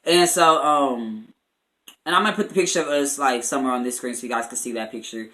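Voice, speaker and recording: A young man with an American accent and a bright, forward voice tells a personal story to his viewers. He talks casually and chattily, leaning on slangy filler phrases. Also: mid-range voice, a little fast. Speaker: vlogger.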